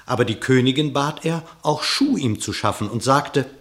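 A man's voice: medium pitch, normal speed. A man narrating a verse fable in a radio play, telling it expressively. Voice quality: expressive storytelling, dramatic.

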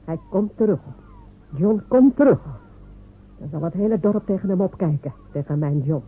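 A woman's voice: lowish voice, animated, normal speed, slightly rough. A woman playing proud, waiting wife Jemima. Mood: firm. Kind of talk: radio play acting. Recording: narrow phone-like radio recording with faint hiss.

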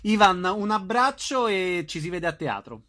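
A male radio host with a somewhat high voice. He talks in a conversational way, as an interviewer putting questions to guests. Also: expressive, moderate pace, bright, forceful tone.

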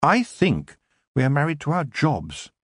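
An earnest young woman witch as a male narrator acts her out in a storybook. The voice is raised above a man's normal pitch and very lively in its rise and fall, frank and determined. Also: unhurried, husky, no room sound, studio quality, exaggerated voice. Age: young adult. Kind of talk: audiobook character voice.

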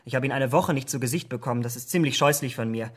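An actor playing an impressionable, vain young gentleman in a German radio drama, speaking in an earnest, rapturous way. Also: mid-range voice, quick pace, breathy edge, natural voice. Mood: light, petulant.

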